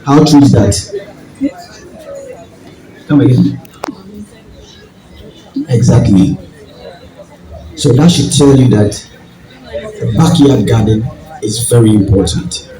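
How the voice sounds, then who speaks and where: A man with a deep voice and a Ghanaian accent gives a public talk, deliberately, in short phrases and questions to the audience. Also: a crowd in the background. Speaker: guest speaker, farming group leader. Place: event venue with audience. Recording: distant, echoey hall with audience noise.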